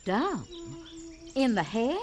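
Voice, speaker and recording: A woman's voice: on the high side, sing-song, slow, muffled recording. Curious chatty neighbor lady. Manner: inquisitive, exclaiming. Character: curious new woman neighbor, chatty and polite. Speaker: actress in radio drama. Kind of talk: 1940s radio drama acting.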